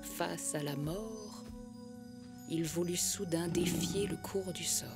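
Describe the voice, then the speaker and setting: The woman you would hear reading a solemn, lyrical fable in an animated short, low and unhurried, each line measured out. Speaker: narrator. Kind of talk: poetic storybook narration of an animated short. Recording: music under the voice. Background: music.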